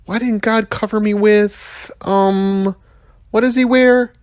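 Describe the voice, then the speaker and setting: Man playing a grumpy storybook rhino, high and whiny. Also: steady tone, clean tone, no echo, clean studio sound. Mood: whiny, searching. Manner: muttering, halting, searching for words. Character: grumpy, self-pitying big male rhino.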